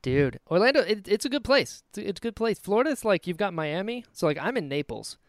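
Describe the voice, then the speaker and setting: A man from North Carolina hosting a podcast, chatty and quick to laugh, tossing "dude" into his casual interview talk. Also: sits high, big pitch swings, dry recording, clean studio sound. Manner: chatty, laughs easily, says "dude" a lot. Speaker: podcast host.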